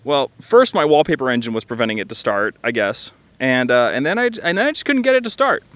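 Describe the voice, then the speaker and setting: A man's voice: mid-range voice, sing-song, fast, fairly bright tone, no echo. A man giving casual, joking commentary over gameplay, rambling and slangy with a self-deprecating manner. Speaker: video game reviewer and host.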